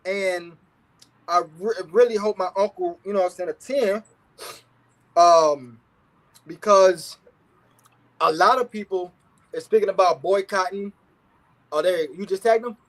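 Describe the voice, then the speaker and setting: A man's voice: high voice, unhurried, pauses often, poor audio. A man in his thirties hosting a casual livestream talk show, speaking in laid-back slang and often asking "you feel what I'm saying".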